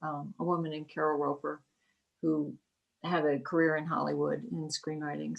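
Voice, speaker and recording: Chatty woman in her sixties, low-pitched. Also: creaky, poor audio. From Kansas, US Midwest. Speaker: guest, writer. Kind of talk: casual interview chat.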